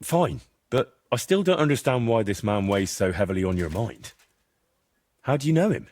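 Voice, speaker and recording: An actor playing a blunt, loyal young medieval adventurer in a video game, questioning and sardonic, with a slightly rough voice. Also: male voice, lowish voice, very animated, moderate pace, a little mellow. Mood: skeptical, sardonic. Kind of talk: video game dialogue acting.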